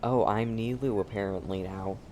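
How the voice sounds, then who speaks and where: A woman's voice: extremely low pitch, moderate pace, a little mellow, a little hiss or hum. A woman streaming a game, giving casual commentary with dry, joking interjections. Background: faint game music.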